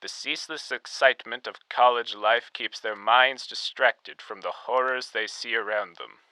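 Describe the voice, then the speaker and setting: A man acting as a 1938 radio announcer, very bright and forceful, with crisp diction. His narration is formal, theatrical and grave, recorded close and dry. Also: medium pitch, normal speed, no echo, lightly acted, rough recording. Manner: crisp, formal, dramatic delivery.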